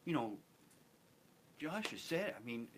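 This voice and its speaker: A man speaking earnestly as an interview guest, with a deliberate, emphatic way of repeating phrases for effect. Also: medium pitch, sing-song, fairly bright tone. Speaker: guest, theater company representative. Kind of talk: earnest panel interview talk. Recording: clearly noisy background.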